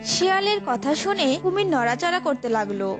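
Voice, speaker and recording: A woman's voice: extremely high pitch, big pitch swings, brisk. A woman narrating a scripted story for children as a storyteller. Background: prominent music.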